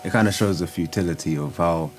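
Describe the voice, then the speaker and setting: A man's voice: low-pitched, fast, soft background music. A man reflecting casually on poetry, in conversation.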